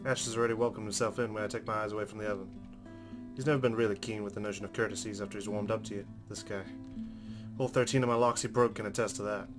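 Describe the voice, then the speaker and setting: A man with a fairly low voice reads story narration from a game at a fast clip. Plucked guitar music plays clearly behind him. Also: fairly even intonation. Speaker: let's player reading a game aloud. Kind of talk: reading visual novel narration aloud. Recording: music under the voice.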